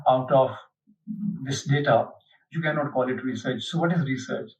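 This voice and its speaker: A man giving an unhurried explanatory lecture, pausing often with fillers as he explains. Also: on the high side, darkish tone. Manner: unhurried, hesitant, many fillers. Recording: dull lo-fi, mic at a distance.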